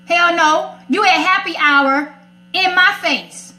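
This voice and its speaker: A woman's voice: very high voice, slow, bright, forceful tone. An American woman hosting a casual radio chat, outspoken, sassy and emphatic as she gives her opinions. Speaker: radio segment host. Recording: roomy echo.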